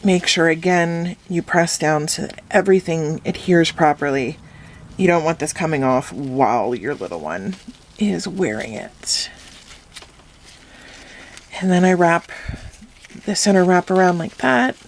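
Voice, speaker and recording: Slow, slightly hoarse woman teaching a craft. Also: on the low side. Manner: slow, calm, instructive. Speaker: craft tutorial host. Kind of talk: step-by-step craft tutorial voice-over.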